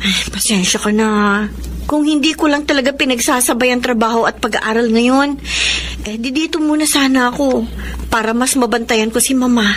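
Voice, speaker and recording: A woman playing a worried, conciliatory younger sister in a Filipino radio drama, gentle and pleading. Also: fairly high pitch, moderate pace, a little hiss or hum, lightly acted. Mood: apologetic, reassuring.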